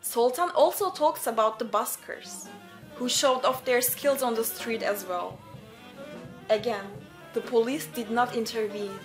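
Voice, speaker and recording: Female narrator, slightly high voice, scripted documentary storytelling. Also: unhurried, soft background music.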